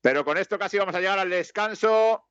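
A man's voice: sits high, fast talker, clear, resonant tone, no echo, dull audio. A man with a Spanish accent giving casual live football commentary, rambling along and lifting his voice on attacks. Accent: Spanish from Spain. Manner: rambling, lifts to excited calls on attacks.

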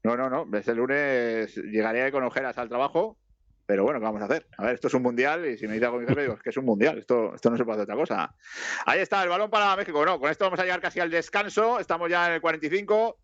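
A man with a Spanish accent chatting casually over a live football match, rambling and lifting excitedly at the plays. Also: medium pitch, fast talker, dry recording, lo-fi sound. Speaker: co-host of a live football watch-along.

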